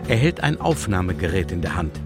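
A man narrating a scripted children's story in short, deliberate phrases. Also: sits low, very animated. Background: prominent guitar music.

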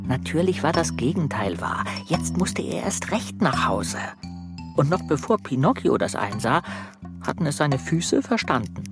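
A man narrating a children's radio play, telling the story in a measured way with dramatic emphasis. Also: on the high side, sing-song. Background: music.

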